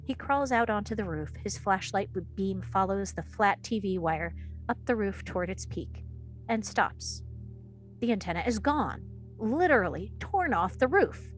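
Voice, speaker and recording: Woman narrator reading out script directions, medium pitch, faint music behind. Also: animated, moderate pace.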